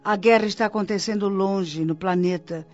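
A man with a Brazilian accent playing a wise, patient spirit mentor in a radio drama, teaching in a measured, reassuring way. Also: high-pitched, fairly even intonation, lightly acted. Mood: patient, earnest. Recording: dull lo-fi radio drama, music behind. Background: music.

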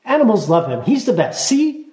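Casting: male reader putting on a bossy, chattering gnome girl, high and bright, storybook audiobook. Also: big pitch swings, normal speed, echoey room. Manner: blunt, morbid, hasty chatter. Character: bossy 263-year-old female gnome girl.

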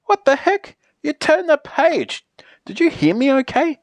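A woman voicing an exasperated, pleading character in a children's picture book, theatrical and emphatic with drawn-out pleas. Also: lowish voice, big pitch swings, no echo. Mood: outraged.

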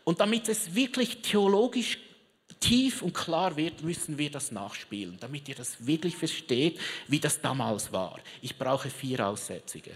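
A preacher giving a lively sermon, telling a story theatrically and joking with a live audience. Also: medium pitch, measured pace. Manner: theatrical storyteller, rallies the crowd; chatty asides, joking with the audience. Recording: long reverb of a big hall.

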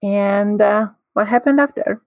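A woman from Hungary, a guest telling a personal story in a lively, expressive way. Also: medium pitch, a little slow, vocal fry, no room sound. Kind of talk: casual storytelling.

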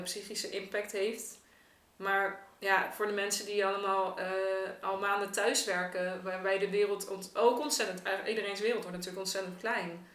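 A woman's voice: mid-range voice, fairly bright tone. A woman in casual, reflective conversation, thinking aloud and rephrasing as she goes. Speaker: theatre maker in a podcast discussion. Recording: echoey room, distant from the mic.

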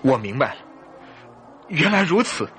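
An actor playing an emotional, tender-hearted English husband in a theatrical, exclamatory Mandarin radio drama style. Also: male voice, a little high, big pitch swings, measured pace, a bit bright, soft background music. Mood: understanding.

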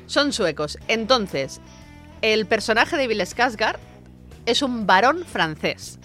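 A woman co-hosting a casual film podcast, talking in animated, rambling retellings and laughing often. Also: on the high side, slow pace, soft background music. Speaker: podcast co-host.

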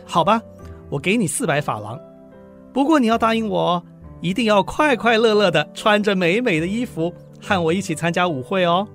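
An actor playing an eager, doting husband, a modest clerk in a radio drama, with an earnest, easily flustered manner. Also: male voice, high-pitched, moderate pace, faint music behind. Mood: soothing. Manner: earnest, animated, stammering when flustered.

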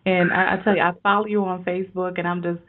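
A woman's voice: on the low side, little pitch movement, quick pace, phone-quality sound. A woman hosting a motivational interview show in an enthusiastic, effusive manner. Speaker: podcast interview host.

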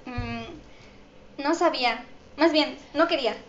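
A woman's voice: sits high, slow, a bit bright. A woman with a Mexican accent, answering audience questions as an interview guest. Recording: dull, echoey room with faint noise.

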